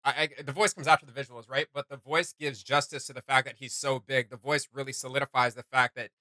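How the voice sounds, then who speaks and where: A man's voice: mid-range voice, steady tone, fast, pushed and bright, no room sound. A man hosting a reaction video, giving enthusiastic, slangy, off-the-cuff commentary.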